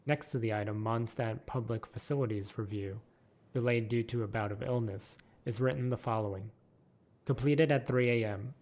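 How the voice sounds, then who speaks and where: A man reading a game character's story aloud with a steady, even delivery. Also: mid-range voice. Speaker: lore video narrator.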